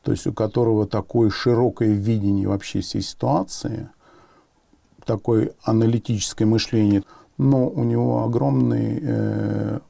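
A man's voice: on the low side, steady tone, measured pace, gravelly, mellow, dry recording. A man in his fifties giving reflective interview answers, choosing his words with some hesitation. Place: small soundproofed studio, seated.

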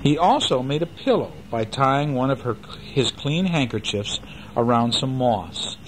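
An older man reading a children's book aloud at home and chatting gently with a child between passages. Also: medium pitch, expressive, a little slow, background noise. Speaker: father reading a bedtime story. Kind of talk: bedtime story reading to a child.

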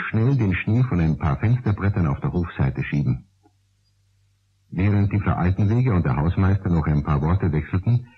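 A man narrating a children's radio story in a calm, measured way. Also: sits low, breathy edge. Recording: dull old radio recording.

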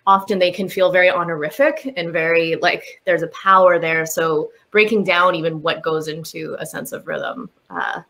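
A woman's voice: lowish voice, fairly even intonation, moderate pace, hardly any pauses, bright, forceful tone. A woman translator musing on a video call panel, full of fillers and trailing thoughts. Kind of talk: reflective panel discussion.

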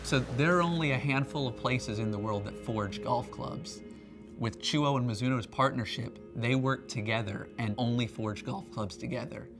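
A man's voice: mid-range voice, moderate pace. A man in his thirties giving a conversational, explanatory promotional talk as a company presenter. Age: 30s. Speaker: company R&D representative, factory tour presenter. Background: background music.

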